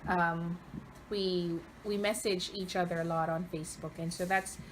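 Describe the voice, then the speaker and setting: A woman, answering interview questions conversationally and pausing often mid-phrase. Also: on the low side, steady tone, slight background noise, poor audio. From Philippines, Cavite province. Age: 30s.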